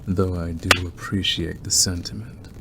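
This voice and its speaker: Man playing a deep, slow, obsessive werewolf suitor. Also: little pitch movement, full character voice. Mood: intrigued. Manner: slow, deliberate, softly menacing and teasing. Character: obsessive predatory male werewolf, wolfish, smitten stranger. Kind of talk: ASMR roleplay monologue to the listener. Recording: clearly noisy background ambience.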